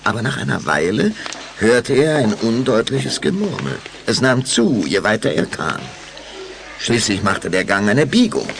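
A man narrating a children's audio drama in German, with a dramatic, deliberate storytelling delivery. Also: mid-range voice, very animated, gravelly.

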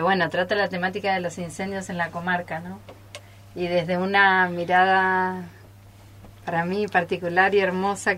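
A woman's voice: on the low side, a bit bright. An Argentine woman chatting informally and expressively in a group interview in a radio studio. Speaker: radio theatre group member. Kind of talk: casual group interview chat.

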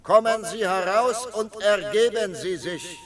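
An actor playing a confident, commanding FBI captain in an old radio crime drama. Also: male voice, sits high. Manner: commanding, brisk. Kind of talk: vintage radio drama acting.

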